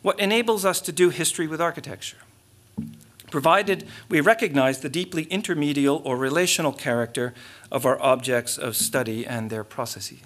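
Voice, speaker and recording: A man's voice: fairly high pitch, a bit bright. A middle-aged man delivering a keynote lecture from a lectern, reading from notes with restarts and muttered asides. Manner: measured, reads aloud with frequent restarts and asides. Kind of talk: academic lecture read from notes. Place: large lecture hall stage with curtains. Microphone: lectern microphone.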